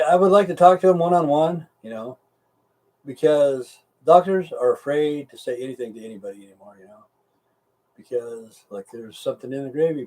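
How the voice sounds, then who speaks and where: A man's voice: medium pitch, darkish tone, distant mic, low-quality recording. A middle-aged or older American man chatting casually and rambling to live stream viewers, with a blunt, folksy, profane way of talking.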